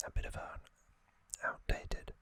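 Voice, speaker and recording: A man speaks entirely in a breathy whisper with a very bright, sibilant edge. He takes his time, setting down short, hushed phrases at a slow, deliberate pace. The delivery is that of an ASMR host narrating trivia.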